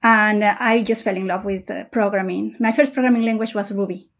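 A woman from Peru, a software engineer, answering questions in a casual interview over a video call. She speaks in a measured, thoughtful way, with frequent "uh" pauses. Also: mid-range voice, fairly even intonation, gravelly.

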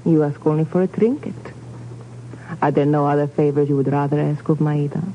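An actress playing a sly, sultry foreign femme fatale with coy, formal phrasing in a 1950s radio drama. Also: female voice, low-pitched, fairly even intonation, fairly quick, natural voice. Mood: seductive, wary. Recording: muffled, noisy old radio recording.

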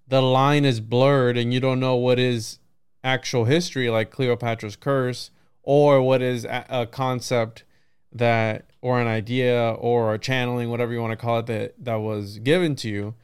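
A man's voice: medium pitch, steady tone, normal speed, clean tone. A man hosting a casual conversational interview, talking informally and rambling as he thinks aloud. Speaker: podcast host.

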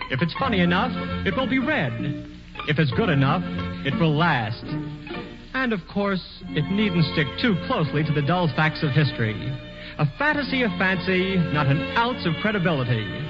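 An actor playing a whimsical young writer in his twenties, musing wryly to himself in a 1940s radio play. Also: fairly high pitch. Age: 20s. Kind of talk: 1940s radio acting, narrating and singing. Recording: muffled 1940s radio with orchestra behind.

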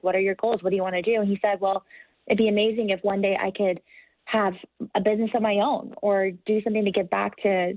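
A woman's voice: mid-range voice, no echo, narrow, tinny audio. A woman, American-born, speaking as a guest in a podcast interview in an earnest, articulate and motivational way. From United States.

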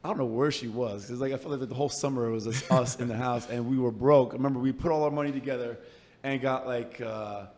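A man's voice: mid-range voice, fairly even intonation, quick pace, darkish tone. An American man hosting a podcast, chatting casually and teasing his guest in a playful, informal way. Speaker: podcast host interviewing a guest. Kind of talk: casual, bantering interview chat.

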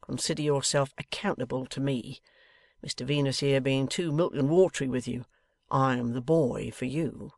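A man acts out a sly Victorian bully for an audiobook. The rogue sneers and blusters with impudent contempt, in rough colloquial phrases. The recording is dry and close. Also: mid-range voice, fairly even intonation, normal speed, a little mellow, no echo, natural voice. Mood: sneering. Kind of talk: dramatised audiobook character voice.

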